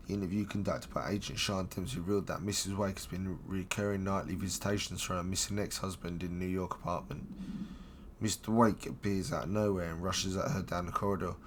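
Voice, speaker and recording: Hoarse low-voiced man reading aloud. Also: fairly quick, slight background noise. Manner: reads in a flat steady voice, swears casually. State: hoarse, losing his voice. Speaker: gameplay streamer. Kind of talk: casual let's-play commentary and reading game documents aloud.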